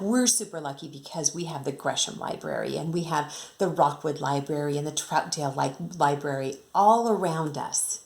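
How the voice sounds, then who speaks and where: A fairly low-voiced American woman reads aloud for young children. Her pitch swings in a lively, animated way, and she moves between rhythmic storybook reading and casual teacherly explanation, speaking straight to the kids. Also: vocal fry. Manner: expressive, rhythmic reading, addressing children directly. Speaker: second grade teacher reading aloud to pupils. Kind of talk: children's picture-book read-aloud with casual explanatory asides.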